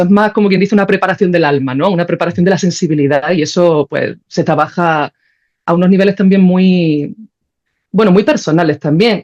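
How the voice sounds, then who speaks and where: A woman with an accent from Spain speaks as an interview guest in a slightly muffled recording. Her voice is on the low side for conversation's reflective, informal flow, as she thinks aloud and often seeks agreement. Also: darkish tone. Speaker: podcast guest, composer and philosopher.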